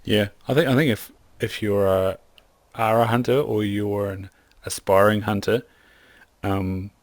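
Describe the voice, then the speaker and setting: Low-voiced young kiwi guy gushing about the outdoors in a podcast chat, lots of likes, dry close sound. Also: steady tone, measured pace, no echo. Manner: enthusiastic, rambling with lots of "like" and restarts. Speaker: podcast guest, hunter and optometrist. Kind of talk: casual interview chat.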